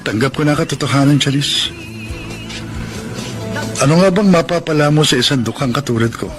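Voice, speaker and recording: An actor playing a poor, proud, heartbroken young farmer and jealous lover in a Filipino radio drama, earnest and melodramatic. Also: male voice, on the high side, normal speed, close to an everyday voice. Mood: bitter, wounded. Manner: melodramatic, earnest, self-pitying. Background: background music.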